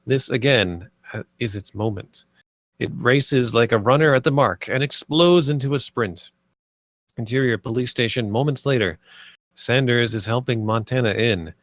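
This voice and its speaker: Male narrator for screenplay directions, medium pitch, close dry sound, scripted delivery. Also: normal speed, fairly bright tone, dry recording. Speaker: narrator reading stage directions.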